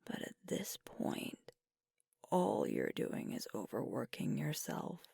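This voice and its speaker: Casting: female actor for protective werewolf girlfriend, ASMR audio. Low, hushed, breathy delivery; soothing, reassuring, gently firm. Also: wildly swooping pitch, no room sound, clean studio sound, exaggerated voice. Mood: coaxing, firm. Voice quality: breathy whisper. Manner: soothing, coaxing, reassuring, deliberate pauses. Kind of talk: whispered ASMR girlfriend roleplay.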